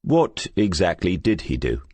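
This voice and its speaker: A man's voice: sits low, huge pitch swings, a little slow, darkish tone, dry recording, heavily acted. A man voicing a probing male police detective in an audiobook, terse and questioning. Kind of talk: audiobook character voice.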